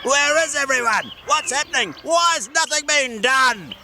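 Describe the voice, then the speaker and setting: A man's voice: sits high, sing-song, normal speed, sharp tone, soft background music, pitched above the performer's own voice, heavily acted. A man voicing a small, bossy male gnome who growls and barks out orders. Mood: bossy shouting. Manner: gruff, barking orders.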